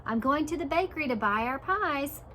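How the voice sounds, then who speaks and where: A woman's voice: high-pitched, expressive, normal speed, pushed and bright, a little hiss or hum, pitched above the performer's own voice. A woman voicing a stubborn husband in a children's storybook, matter-of-fact and insistent.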